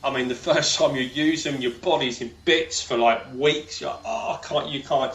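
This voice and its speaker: A man with a deep voice, a podcast guest chatting casually, talking in loose, rambling sentences full of fillers and restarts. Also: fairly even intonation, moderate pace, fairly bright tone. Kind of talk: casual interview chat.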